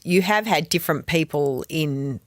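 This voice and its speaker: A woman's voice: sits low, moderate pace, no echo, clean studio sound. A woman hosting an interview, asking inquisitive questions and giving frequent backchannel replies. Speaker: interviewer, host. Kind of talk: conversational interview.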